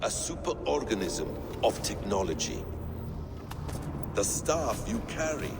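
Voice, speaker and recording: An actor playing an enigmatic, courtly ancient man in a video game, speaking in a measured, theatrical and suave manner. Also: very animated. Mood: mysterious, grandiose. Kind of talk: dramatic video game cutscene acting. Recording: loud ambient rumble behind the voice.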